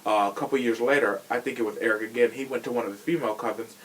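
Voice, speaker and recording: A man's voice: mid-range voice, fairly even intonation, very fast, a little hiss or hum, rough recording. A man chatting casually on a true crime podcast in a sassy, blunt, slangy way. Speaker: podcast host.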